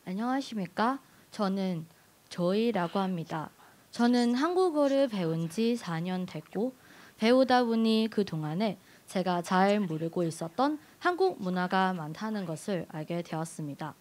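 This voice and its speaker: A woman's voice: mid-range voice, lively intonation, moderate pace, a little mellow. A woman giving a prepared speech in a learned language, telling a personal story expressively. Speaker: speech contestant.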